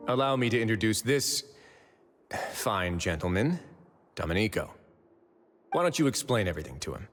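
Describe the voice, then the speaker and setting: A man voicing a wry, authoritative prison overseer in a video game, measured and politely formal. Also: lowish voice, very animated, fairly bright tone, in a large hall, soft background music. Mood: wry, firm.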